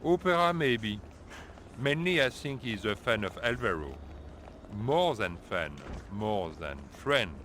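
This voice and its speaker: Gossipy low-voiced Frenchman. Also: expressive, fairly bright tone, slight background noise. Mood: chatty, insinuating. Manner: halting foreigner's English, insinuating. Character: chatty, gossipy French opera house worker. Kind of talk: scripted video game dialogue.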